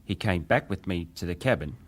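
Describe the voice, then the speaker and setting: An actor playing a ship's wireless operator, a respectful but defensive witness giving clipped testimony in a dramatised hearing. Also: male voice, deep voice, lively intonation, brisk. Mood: respectful, matter-of-fact. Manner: clipped, respectful, matter-of-fact.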